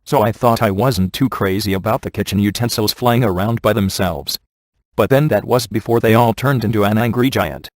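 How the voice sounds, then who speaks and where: An actor playing a smug, wisecracking ghost hunter in a comic video game, delivering his lines in a sarcastic deadpan. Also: fairly low pitch, normal speed, dry recording, clean studio sound, lightly acted. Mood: dry, smug.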